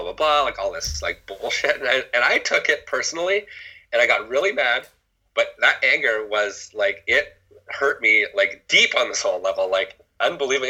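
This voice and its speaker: A middle-aged man with a husky, very bright and forceful tone and a lowish voice. He talks rawly and candidly, swearing freely and breaking off to restart his thoughts. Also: rough recording. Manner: raw, emotional, swears freely, many restarts. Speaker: former ski coach. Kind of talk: candid personal conversation.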